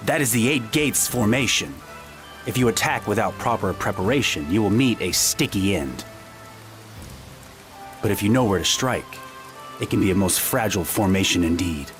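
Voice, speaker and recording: An actor playing a polite, self-assured military strategist who talks with hesitant fillers and wry courtesy. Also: male voice, on the low side, lightly acted. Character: eccentric polite male strategist, confident. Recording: game audio with loud music.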